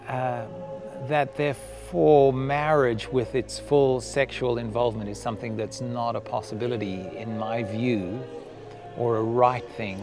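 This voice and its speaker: A man giving a formal, deliberate explanation in an interview, choosing his phrases with care. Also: medium pitch, vocal fry. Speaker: religious interviewee.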